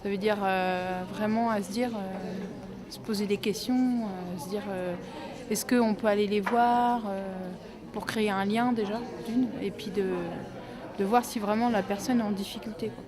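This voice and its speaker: Woman interviewee, mid-range voice, colloquial and unscripted, clear background chatter. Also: mid-range voice, steady tone, unhurried. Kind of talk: informal interview talk. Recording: people talking in the background.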